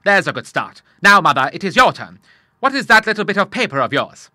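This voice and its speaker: Man voices a hearty retired admiral in his sixties, loud and cheerful, dry close read. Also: high voice, big pitch swings, fairly quick, dry recording, pitched above the performer's own voice, heavily acted. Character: jovial old retired male naval admiral.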